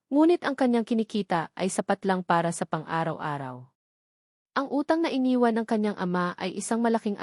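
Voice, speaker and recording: A woman's voice: medium pitch, darkish tone, no echo. Filipino woman narrating in a dry recording. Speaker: story narrator. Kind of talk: scripted dramatic love story narration.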